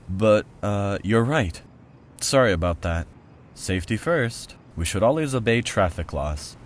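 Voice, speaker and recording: A man's voice: lowish voice, lively intonation, unhurried, a little hiss or hum. A man playing a timid, polite teenage schoolboy in an anime fan dub, hesitant and apologetic.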